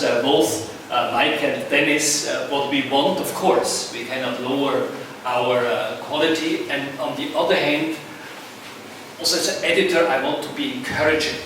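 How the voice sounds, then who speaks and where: Swiss-accented man in an echoey hall. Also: medium pitch. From Switzerland. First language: not English. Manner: halting, many "uh" fillers, warm and earnest. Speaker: journal editor chairing a session. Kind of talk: conference panel remarks. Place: conference room. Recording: echoey hall, distant mic, noisy.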